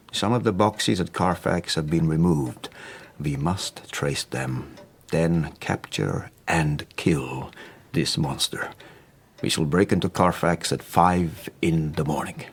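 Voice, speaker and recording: An actor playing a solemn, commanding professor and vampire hunter with a husky voice in an audio drama. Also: male voice, sits low, full character voice. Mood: commanding, grave. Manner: deliberate, solemn.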